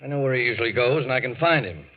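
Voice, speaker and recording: A man playing a knowing, blunt family doctor in a 1940s radio drama, speaking in a measured, pointed way. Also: medium pitch, expressive, lightly acted. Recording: dull 1940s radio recording, faint noise.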